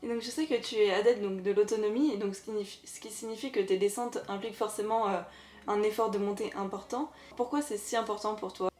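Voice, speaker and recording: A woman's voice: medium pitch, fairly even intonation, a little fast, a little mellow, echoey room, faint music behind. A woman interviewing a guest for a podcast, asking prepared questions.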